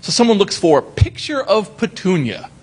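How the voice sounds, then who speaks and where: Brief: male conference speaker, slightly high, husky, profane comic storyteller. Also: normal speed. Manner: animated, profane, comic asides and acted-out quotes. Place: conference hall.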